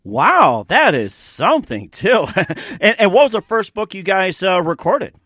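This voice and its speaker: A man hosting an interview show with upbeat, promotional announcing and an excitable, showy way of introducing things, often repeating himself. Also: sits high, a little slow, no room sound, studio quality. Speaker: radio show host and interviewer.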